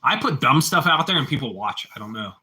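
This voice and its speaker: Bright-voiced man with a Pittsburgh accent. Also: mid-range voice, big pitch swings, brisk, breathy edge. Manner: rambling, repeats himself, talks back to chat. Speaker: livestreamer chatting with viewers. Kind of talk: casual live-stream chat.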